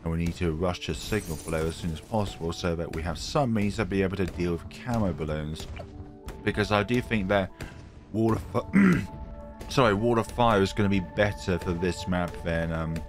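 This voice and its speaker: A man hosting casual gameplay commentary, his voice croaky from a cold, chatty and self-mocking. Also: low-pitched. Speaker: gaming channel host.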